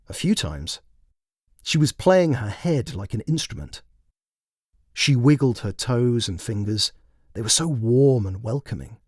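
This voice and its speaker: A man's voice: medium pitch, no room sound, clean studio sound. A man reading a scripted short story as its narrator. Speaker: author and narrator.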